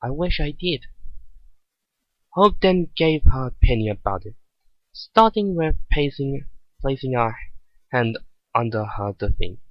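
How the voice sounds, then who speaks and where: A man giving an amateur dramatic reading of prose fiction, halting and stumbling over phrases. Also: medium pitch, lively intonation, no room sound, highs cut off. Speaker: amateur narrator of a fan story.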